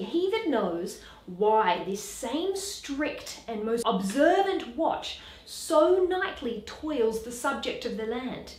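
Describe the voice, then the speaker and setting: A woman playing an anxious night sentinel, earnest and questioning, in deliberate dramatic Shakespearean verse. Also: high voice, a little mellow, reverberant, exaggerated voice.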